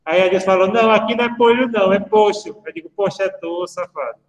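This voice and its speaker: A man's voice: sits high, fast talker. A man, a law professor giving a casual online lecture, chatty and full of anecdotes and asides to his students. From Brazil. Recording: echoey room with long reverb.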